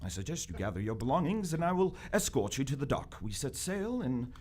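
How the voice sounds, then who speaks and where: Man playing a stuffy, formal gentleman envoy, very animated. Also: medium pitch, brisk, slight background noise, natural voice. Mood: earnest, measured. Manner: formal, deferential yet insistent, precise. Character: stuffy, dutiful, unflappable gentleman envoy of Victorian Britain. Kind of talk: live radio theater acting.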